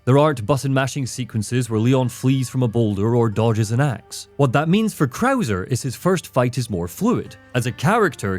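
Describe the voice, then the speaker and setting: A man's voice: medium pitch, darkish tone. A man giving scripted video essay narration in a wry, opinionated way.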